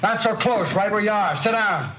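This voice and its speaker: Rough, desperate American gunman, noisy old recording. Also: high-pitched, expressive, normal speed. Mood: edgy, suspicious. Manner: barking orders, rough slangy speech. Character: desperate, bullying criminal with a bomb, devoted to his brother. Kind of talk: 1950s radio crime drama acting.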